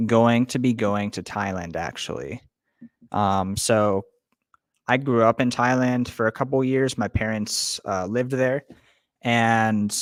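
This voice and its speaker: A young man hosting a casual live stream, chatting loosely with viewers and filling pauses with lots of ums. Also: medium pitch, dry recording. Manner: relaxed, many ums, greets chat viewers. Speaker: livestream host. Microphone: webcam setup.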